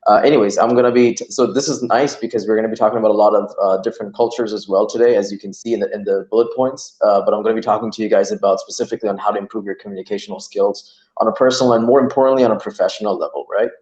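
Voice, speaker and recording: A man's voice: fairly low pitch, steady tone, very fast, a little mellow, reverberant, highs cut off. A young man from Iran giving an online training talk, chatty and full of "you know" and "like". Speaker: workshop presenter. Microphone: video call.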